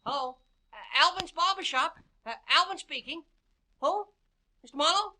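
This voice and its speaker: A very high, bright, squeaky barber for an old radio drama. He stammers eagerly and rambles from one nosy question to the next, easily flustered. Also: normal speed, heavily acted. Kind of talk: 1940s radio acting.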